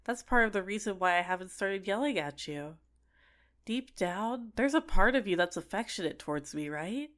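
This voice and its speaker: A woman's voice: medium pitch, expressive, moderate pace, a bit bright, natural voice. A woman playing an obsessive, sentient dating-sim character in an ASMR roleplay, clingy and coaxing with menacing sweetness. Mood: teasing, coaxing. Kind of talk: scripted ASMR roleplay monologue.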